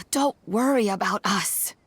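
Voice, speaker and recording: A woman voicing a calm, plain-spoken old granny in a video game, with a husky voice. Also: medium pitch, slow pace, heavily acted. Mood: calm, reassuring. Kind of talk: video game character dialogue.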